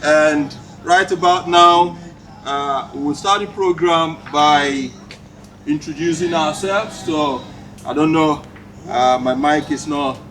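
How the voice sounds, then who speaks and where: A man's voice: on the high side, takes it slowly, distant mic. A man hosting a community gathering, speaking hesitantly with many fillers. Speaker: event host. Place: community hall event. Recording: loud music behind.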